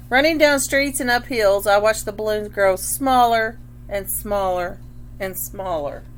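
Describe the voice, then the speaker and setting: A woman reading a picture book aloud like a teacher, pausing to explain and check understanding, with a noisy hum. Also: on the high side. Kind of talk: picture book read-aloud with questions to children.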